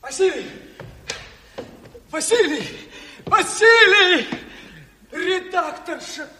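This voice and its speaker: An actor playing an anxious, simple-hearted revolutionary underling, excitable and full of exclamations, in a Soviet radio play. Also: male voice, extremely high pitch, drawn-out words, heavily acted. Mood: frantic shouting. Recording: faintly noisy 1970s radio drama recording.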